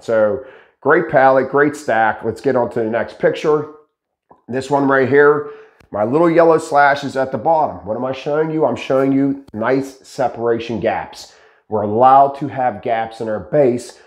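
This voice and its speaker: A man in his thirties hosting a casual instructional talk to camera, his voice slightly raspy from shouting, asking rhetorical questions as he explains. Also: mid-range voice, echoey room. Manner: animated, gestures a lot, asks rhetorical questions. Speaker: host teaching pallet building. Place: dark studio with green screen, indoors. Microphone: lapel mic.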